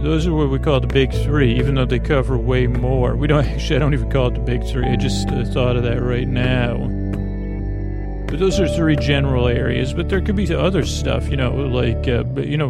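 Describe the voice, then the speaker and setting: A man with a gravelly, creaky voice hosting a bedtime podcast, rambling haltingly and often trailing off mid-thought. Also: mid-range voice. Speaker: podcast host.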